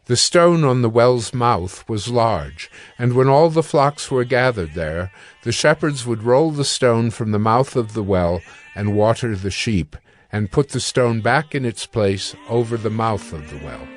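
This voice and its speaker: A man narrating scripture from a script in a measured, formal way. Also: medium pitch, animated.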